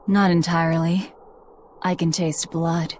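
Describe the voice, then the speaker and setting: A woman's voice: fairly low pitch, flat delivery, unhurried, a little mellow, a little hiss or hum, natural voice. An actress playing a guarded, blunt young demon woman in a game, speaking curtly. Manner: curt, blunt.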